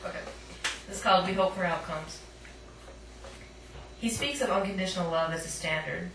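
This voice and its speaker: Low-voiced woman poet in an echoey, noisy room. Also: normal speed. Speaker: poet, featured reader. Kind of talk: live poetry reading with casual asides. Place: live poetry night at a cafe. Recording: echoey room, distant mic, clear noise.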